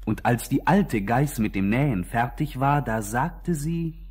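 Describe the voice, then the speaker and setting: A man narrates a children's fairy-tale radio play in a husky voice. His pitch moves expressively and his pace is measured, as if from a storybook. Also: lively intonation, darkish tone.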